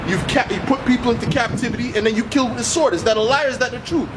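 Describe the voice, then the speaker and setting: Insistent high-voiced street preacher, man, loud traffic. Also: rapid-fire. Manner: insistent, accusatory, peppered with repeated "man" and "all right". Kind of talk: confrontational street preaching and debate. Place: outdoors on an urban street. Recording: very loud outdoor city traffic noise.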